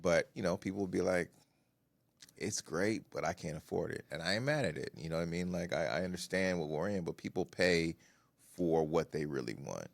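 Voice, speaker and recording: Deep-voiced male co-host, laid-back and slangy, very dry close sound. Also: a little fast, creaky, no room sound. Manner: relaxed slangy talk, gets animated. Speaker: podcast co-host, content creator. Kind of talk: casual podcast chat.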